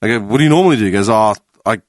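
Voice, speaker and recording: A man's voice: fairly low pitch, animated, racing, darkish tone, no room sound, studio quality. A man with an Australian accent telling a story on a casual podcast, swearing and acting out what others said. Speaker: podcast panelist. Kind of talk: casual chat.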